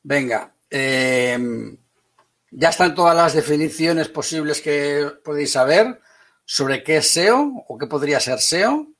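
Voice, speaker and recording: A man from Spain with a Spanish accent teaching an online class, brisk and teasing, calling on students by name. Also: fairly high pitch, animated, fairly bright tone.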